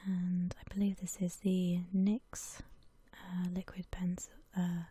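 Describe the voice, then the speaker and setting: A woman whispering softly and breathily in a gentle, unhurried ASMR voice-over, chatting casually. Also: lowish voice, monotone, a little hiss or hum. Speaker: ASMR video creator.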